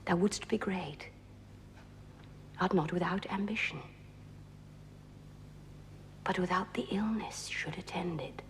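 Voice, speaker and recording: A woman playing a ruthless, ambitious noblewoman in a theatrical Shakespearean soliloquy, moving between breathy whispers and intense declamation. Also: on the low side, takes it slowly, long pauses, slight background noise. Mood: impatient, intense.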